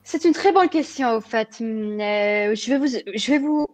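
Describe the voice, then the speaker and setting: A young woman from Algeria answers interview questions in a slightly high voice. She hesitates often, restarting phrases and filling pauses, and the recording is close and dry with no room sound. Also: expressive, no room sound. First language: French. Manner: hesitant, many fillers and restarts. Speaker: guest pharmacist.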